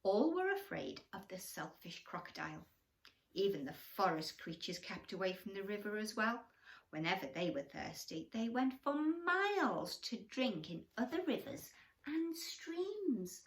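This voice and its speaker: A woman's voice: medium pitch, very animated, unhurried. A woman storyteller reading a bedtime story expressively to children, with questions and asides to the young listeners.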